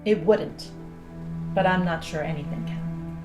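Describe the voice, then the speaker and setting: A cynical female astronaut played by a woman in an audio drama, speaking in a lowish voice. She is blunt, sardonic and persuasive as she pushes an escape plan. The sound is roomy, with faint music behind her. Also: measured pace. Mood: conspiratorial.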